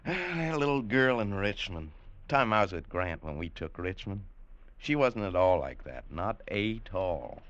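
An actor playing a boastful, nostalgic, grumbling cavalry trooper with rustic, drawling talk in an old radio drama. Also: male voice, medium pitch, animated, a little slow, fairly bright tone, dull audio. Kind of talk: 1940s-50s radio acting. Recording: faintly noisy old radio recording.

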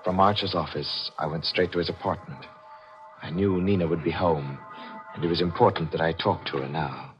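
An actor playing a scheming, murderous smooth talker in a measured, conspiratorial 1940s radio thriller style. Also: male voice, low-pitched, loud music behind the voice, natural voice. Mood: calculating. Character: scheming murderous man, smooth-tongued lover and narrator. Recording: muffled old radio recording.

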